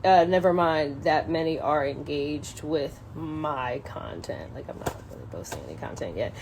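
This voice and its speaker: A woman on a casual solo livestream, halting and thinking aloud as she chats and reads from a book. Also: low-pitched, animated, a little mellow, low-quality recording. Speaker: social media live streamer. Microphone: phone propped up in a window. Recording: clear background noise, ambient sound.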